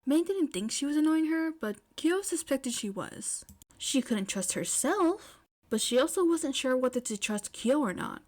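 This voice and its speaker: A woman narrates fiction in a fairly high voice. She reads from a script in the manner of an amateur audiobook. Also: lively intonation, moderate pace, a little mellow.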